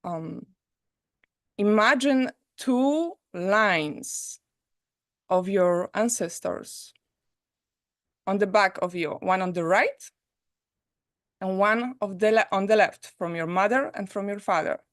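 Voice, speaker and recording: A woman from Poland with a Polish accent hosts a live online premiere and guides listeners through a gentle reflection in a soft, inviting way over a video call. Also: medium pitch, slow pace, pauses often, no room sound, studio quality. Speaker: host.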